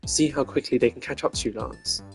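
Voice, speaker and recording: A man voicing a composed, formal male prosecutor in a game, speaking in measured, deliberate deductions. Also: mid-range voice, vocal fry, natural voice. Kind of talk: fan voice acting of a game. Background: game music.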